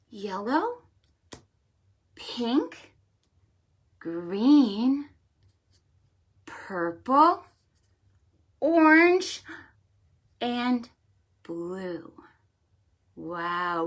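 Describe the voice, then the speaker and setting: A woman leading a children's storytime, speaking in an animated sing-song way with exclamations and questions for young listeners. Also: fairly high pitch. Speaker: children's librarian hosting storytime. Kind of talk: children's storytime with read-aloud and pretend play.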